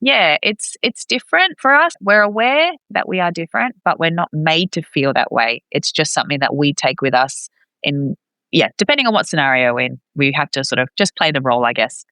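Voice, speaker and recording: A woman chatting casually as an interview guest, expressive and quick to act out dialogue as she tells stories. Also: low-pitched, no echo. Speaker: podcast guest, expat parent.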